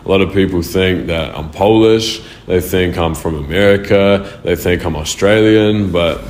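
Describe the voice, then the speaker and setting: Young New male artist, very deep, swears freely, echoey room. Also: normal speed. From New Zealand. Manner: loose, slangy and profane. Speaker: music artist. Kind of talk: casual answers to interview questions. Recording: roomy echo.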